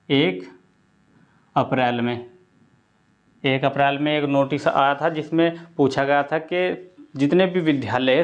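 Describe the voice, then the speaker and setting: A man's voice: mid-range voice, lo-fi sound. A man giving students an informal, explanatory update, repeating key points as he advises them. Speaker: exam coaching presenter.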